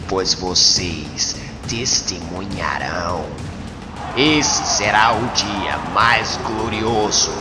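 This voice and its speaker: A man voicing a solemn, menacing alien commander in a motion comic dub, with formal, grandiose, deliberate delivery. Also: fairly low pitch, pitched below the performer's own voice, full character voice. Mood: commanding, grandiose. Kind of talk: comic book dub acting. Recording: long cavernous reverb over music.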